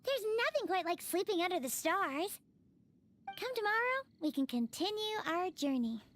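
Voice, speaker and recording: A woman voicing a small, childlike fairy companion in a video game, cheerful, chirpy and excitable. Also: very high-pitched, normal speed, exaggerated voice. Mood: cheerful, chatty. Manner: chirpy, excitable, refers to herself in third person. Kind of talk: video game character dialogue. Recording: background music under the voice.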